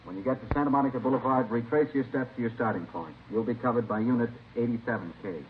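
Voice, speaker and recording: An actor playing an authoritative police captain who gives methodical instructions in a vintage radio crime drama. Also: male voice, medium pitch, fast talker, close to an everyday voice. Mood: businesslike. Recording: muffled, hissy old radio recording.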